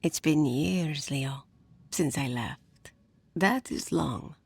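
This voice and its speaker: Game drama: wistful former companion, gentle but reproachful, lowish pitch, unhurried measured delivery. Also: female voice, creaky, husky, no echo, exaggerated voice. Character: Matilda, estranged female partner, gentle, wistful, reproachful. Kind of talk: dramatic game cutscene dialogue.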